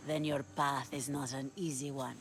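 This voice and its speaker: An actress playing a ruthless, shrewd pirate leader in a video game, with a measured, menacing, authoritative delivery. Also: female voice, very deep voice, flat delivery, noisy background. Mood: shrewd, businesslike. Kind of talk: dramatic video game cutscene acting.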